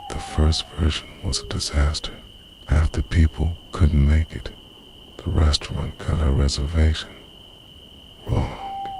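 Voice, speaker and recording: A man playing an obsessive, devoted boyfriend in an intimate ASMR roleplay, speaking in a hushed, breathy, deliberate way that slips into whispers. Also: very deep voice, heavily acted. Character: obsessive, devoted time-travelling boyfriend, softly confessing. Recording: noisy night ambience with crickets.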